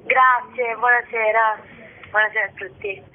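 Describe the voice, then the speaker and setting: A woman's voice: on the high side, fairly even intonation, moderate pace. A woman from rural southern Lazio in Italy, giving a spontaneous interview as a festival director, somewhat hesitant, often repeating words. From Valle di Comino, southern Lazio, Italy. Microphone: telephone. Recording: noisy, tinny phone line.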